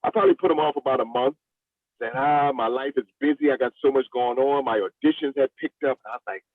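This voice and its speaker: A man's voice: mid-range voice, animated, fast, no room sound. A man who directs and acts for the stage, talking casually and enthusiastically in an interview, repeating phrases for emphasis. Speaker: stage play director and actor. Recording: thin phone-like call audio.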